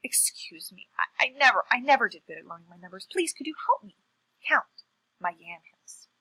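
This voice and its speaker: A sly, lazy trickster spider from a folktale, acted by a woman storyteller. The voice swoops high and bright with sugary politeness, stumbling through wheedling pleas, with a husky edge. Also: very animated, normal speed, dry recording, full character voice, poor audio. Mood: sweetly wheedling.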